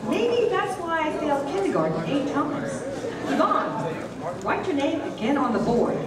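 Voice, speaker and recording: A middle-aged woman doing a brassy, animated stand-up set on a comedy club stage, swooping between high and low for effect. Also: moderate pace. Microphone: handheld mic, recorded by far camera. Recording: roomy club sound from far off, crowd laughter. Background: audience laughter.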